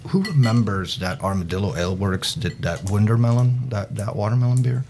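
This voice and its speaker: A man's voice: lowish voice, a little fast, background noise. A man from Belgium, a podcast guest and beer expert, chatting casually with many restarts and repeated words. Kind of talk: casual chat.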